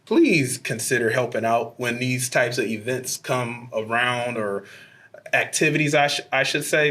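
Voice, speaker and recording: A city councilman with a slightly hoarse voice, making an earnest appeal to the public at a council meeting, with frequent fillers. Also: mid-range voice, a bit bright.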